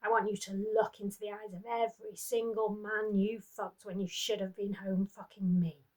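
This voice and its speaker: A woman reading aloud, voicing a brutal, sneering abusive husband who taunts in a loud, snarling way. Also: medium pitch, flat delivery, normal speed, natural voice, poor audio.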